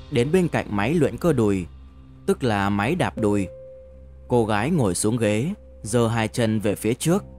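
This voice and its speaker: A man narrating a novel as an audiobook in a measured, deliberate storytelling manner. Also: lowish voice, darkish tone.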